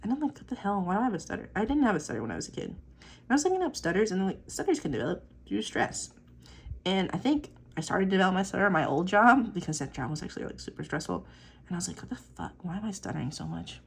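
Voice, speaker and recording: A woman's voice: on the low side, sing-song, quick pace, vocal fry, husky. A young woman talking casually and rambling on tangents while she inks a drawing, swearing now and then and filling gaps with "like" and "um.". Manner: chatty, rambling, swears casually, many fillers. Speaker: artist vlogger.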